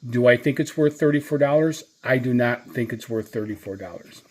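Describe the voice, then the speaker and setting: A man's voice: mid-range voice, fairly quick. A man giving a casual, unscripted product review as a channel host, speaking hesitantly and often circling back over his points.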